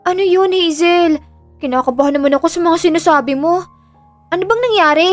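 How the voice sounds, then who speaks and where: A woman playing an excitable, loyal young best friend in an audio drama, with a Filipino accent and exclamatory, slangy Taglish delivery. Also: very high-pitched, brisk. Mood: worried. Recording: music under the voice.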